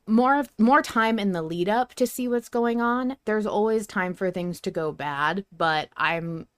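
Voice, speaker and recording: A woman's voice: mid-range voice, a little slow, clear, resonant tone, no room sound. A woman hosting a podcast, talking casually and with plenty of opinions in a fan discussion. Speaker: podcast host.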